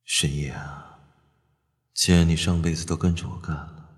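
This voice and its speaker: An actor playing a cool, shrewd young business heir in a radio drama, unhurried and sardonic. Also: male voice, deep voice, fairly even intonation, close to an everyday voice. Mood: brooding, sardonic. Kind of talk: modern radio drama acting. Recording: long reverb, light music underneath.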